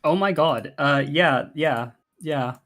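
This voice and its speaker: A man's voice: a little high, slow, a bit bright. A man running a tabletop game as game master, narrating and making rulings casually.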